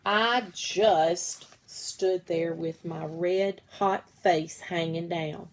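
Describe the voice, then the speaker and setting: A woman reading a children's story aloud in an expressive storytelling style. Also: on the low side, very slow, slight background noise. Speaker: read-aloud reader.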